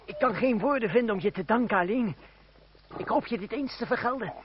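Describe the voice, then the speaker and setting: Gallant fugitive orator, dull noisy recording. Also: male voice, very high-pitched, a little fast. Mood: impassioned, gallant. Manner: courtly, wry, declamatory. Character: bold young fugitive orator, ironic, gallant, proud. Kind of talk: old Dutch radio drama acting, theatrical, formal diction. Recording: dull, noisy old radio play recording.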